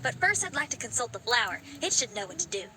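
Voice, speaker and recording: A woman playing an eager, polite, determined young girl adventurer in a video game, with a quick, earnest delivery. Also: on the high side, big pitch swings, sharp tone, a little hiss or hum. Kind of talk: video game character acting. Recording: game music playing behind voice.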